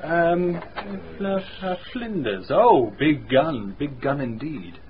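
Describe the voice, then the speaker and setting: A man's voice: a little high, animated, slow. An actor playing a matter-of-fact man sharing findings in a radio drama. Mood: absorbed, matter-of-fact. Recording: dull radio drama, faint noise.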